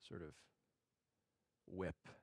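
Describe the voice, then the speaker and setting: A man leading an informal guided meditation in a gentle, reflective way, speaking haltingly with long pauses. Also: no echo.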